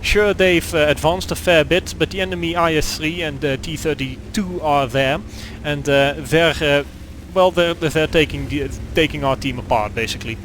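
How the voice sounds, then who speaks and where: A man's voice: fairly high pitch, fairly even intonation, moderate pace, hardly any pauses, fairly bright tone, a little hiss or hum. Hesitant Dutch-accented man narrating gameplay. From Netherlands. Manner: hesitant, many fillers and restarts. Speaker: gaming commentator. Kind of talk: gameplay commentary.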